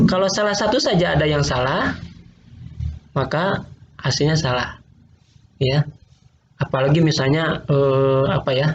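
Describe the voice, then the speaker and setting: A male teacher, patiently explaining logic in an online class, repeating points and quizzing students. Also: a little high. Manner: patient, repeats points, asks quiz questions. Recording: roomy, dull lo-fi online class audio with faint noise.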